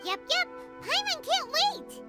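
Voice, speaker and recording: A tiny floating fairy sidekick from a video game. The voice is squeaky, childlike and extremely high, with lively swoops and an excitable temper. Music plays clearly behind it. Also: female voice, slow pace, exaggerated voice. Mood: excited. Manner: speaks of self in third person, bubbly.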